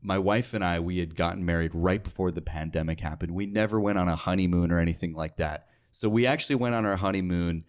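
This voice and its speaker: A man's voice: low voice, fast, few pauses. A young American man talking casually as a guest in an interview. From United States, North Dakota. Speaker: interview guest, radio sales associate.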